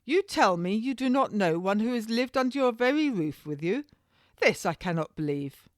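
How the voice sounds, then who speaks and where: A woman voicing a menacing, mocking gentleman official in a historical novel, speaking in formal, accusatory, archaic phrases. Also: on the high side, animated, moderate pace, no room sound, studio quality, voice pushed up, close to an everyday voice. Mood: accusing.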